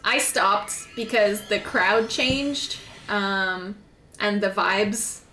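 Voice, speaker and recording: Bright-voiced woman streaming games. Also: mid-range voice, extremely slow, soft background music. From Quebec, Canada. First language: French. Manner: chatty and rambling, with frequent "like" fillers. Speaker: game streamer. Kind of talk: casual chat while gaming. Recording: echoey room.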